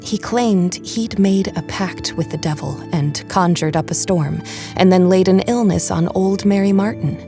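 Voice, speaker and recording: A woman reading a first-person story as a dramatic narrator, measured, with phrases that drop into a hush. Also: on the low side, faint music behind. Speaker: audiobook narrator.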